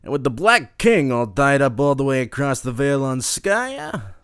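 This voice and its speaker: A man's voice: medium pitch, big pitch swings, measured pace, fairly bright tone, clear, resonant tone, dry recording, pitched above the performer's own voice. Man acting a hot-tempered gangster boss, close and dry. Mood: scheming, cocky. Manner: snappy, hissing, old-time gangster slang. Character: hot-tempered scheming male gangster boss, sharp-toothed royal agent. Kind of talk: audio drama noir gangster acting.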